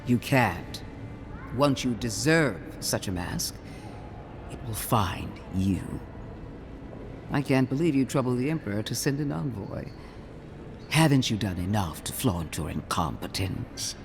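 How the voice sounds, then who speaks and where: An actor playing a severe, zealous imperial inquisitor in a fantasy game, with an imperious, cutting, theatrical delivery. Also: male voice, medium pitch, big pitch swings, a little slow, pauses often, soft background music. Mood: contemptuous, accusing. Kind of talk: dramatic fantasy game dialogue.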